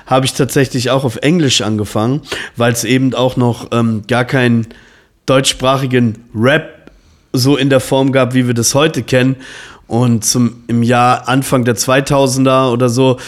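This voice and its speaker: A man in his forties hosts a relaxed interview podcast. He wanders through long questions, stopping and restarting with plenty of fillers, at a middling pitch. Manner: rambling, many fillers and restarts. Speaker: podcast host. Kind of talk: casual interview chat.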